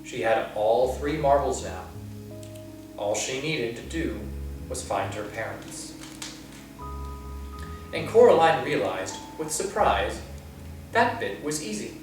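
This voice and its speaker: A man reads a children's book aloud for a library, taking his time and letting his pitch rise and fall expressively. The room is strongly echoey and he sits some way from the microphone. Soft guitar music plays underneath. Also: recorded from a distance. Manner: slow, expressive storytelling. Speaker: library storyteller. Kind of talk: storybook read-aloud.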